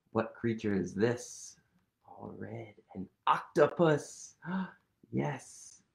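A man in his thirties reading a picture book aloud to children, animated and playful, leading them in actions, recorded on a webcam in a small room. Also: medium pitch, extremely slow, vocal fry. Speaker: storytime reader.

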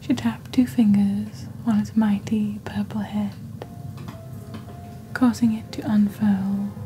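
A woman voicing a witch who presents magical wares in soft-spoken, breathy, deliberate ASMR style, close to the microphone. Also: mid-range voice, a little hiss or hum, lightly acted, low-quality recording. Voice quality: breathy, whispery. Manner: slow, deliberate, hushed storytelling. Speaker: ASMR performer as shopping channel presenter. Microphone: close desk microphone.

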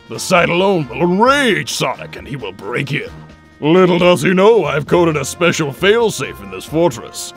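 Aging cartoon mastermind played by a man: theatrical, self-important, gravelly delivery, music underneath. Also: a little high. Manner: theatrical, grandiose. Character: pompous aging male evil genius villain. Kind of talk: comic dub voice acting.

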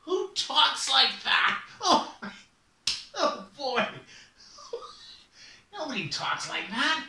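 Raven, someone doing a high, stammering raven. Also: wildly swooping pitch, very slow, pushed and bright, a little hiss or hum, full character voice. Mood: mocking. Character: flustered male raven, squawky and fussy. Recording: roomy, distant mic.

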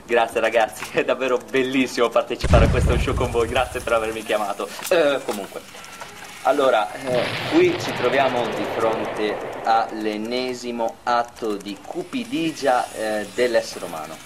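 Young guy doing a jokey live report, hesitant, really loud gunshot noise all around. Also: medium pitch, moderate pace. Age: 20s. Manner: hesitant, nervous. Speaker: on-the-scene reporter in a news parody. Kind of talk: comic acted field report. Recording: loud noise and gunfire behind.

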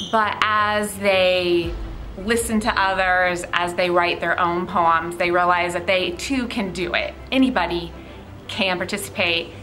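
A woman's voice: on the low side, poor audio. A woman teacher and poetry club sponsor speaking in an interview, encouraging and explanatory. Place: indoor classroom.

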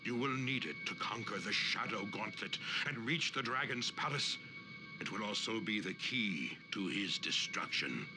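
A solemn spirit of a tiger warrior speaks as a grave mentor to his son. The voice is on the deep side with expressive rises and falls. It is video game acting with music clearly behind it. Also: normal speed, rough recording. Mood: commanding. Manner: grave, portentous mentor delivery.

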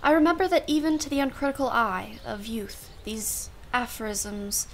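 A woman's voice: a little high, fairly even intonation, moderate pace, a little hiss or hum, natural voice. A woman playing a wry, genteel aunt in a radio play, musing in an arch, formal way. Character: wry, genteel aunt, self-professedly unsentimental.

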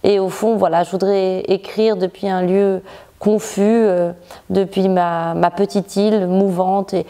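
A woman novelist from Morocco, seated in a bright living room, talking thoughtfully about her writing with frequent restarts and pauses. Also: medium pitch, little pitch movement. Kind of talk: reflective interview monologue.

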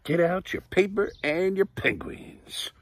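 A man voicing a silly, cheerful woman teacher who mixes up her words, speaking slowly and sing-song. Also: high-pitched, no echo, voice pushed up. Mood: playful.

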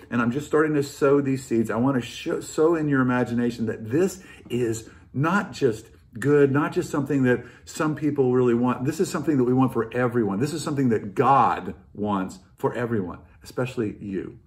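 This is an American man in his sixties with a medium-pitched voice. He speaks like a pastor sharing an informal reflection from home. His manner is earnest and thoughtful, and he repeats key phrases to drive them in. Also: normal speed, few pauses. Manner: earnest, reflective, emphatic repetition. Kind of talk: informal devotional talk to camera. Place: living room at home, indoors.

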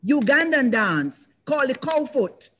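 An older woman moderating a panel discussion over a video call, speaking in a reflective, measured way with pauses and restarts. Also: high-pitched, sing-song, a bit bright. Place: indoors, in front of a banner.

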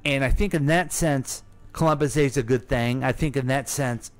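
Male American radio co-host, mid-range voice, halting and wry in conversation. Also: mid-range voice. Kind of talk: casual radio discussion.

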